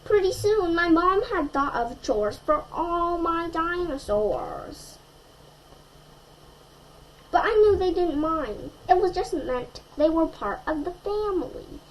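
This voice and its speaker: A woman storyteller reading a children's picture book aloud in an expressive way, addressing young listeners. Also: very high-pitched, slow, rough recording.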